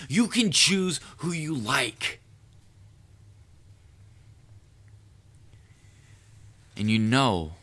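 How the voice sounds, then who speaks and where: A man playing a proud, cunning, lovestruck assassin in an audio roleplay, speaking formally and deliberately to the listener with quiet menace. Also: medium pitch. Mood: earnest, persuading. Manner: formal wording, deliberate, measured. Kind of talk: scripted audio roleplay monologue addressed to the listener.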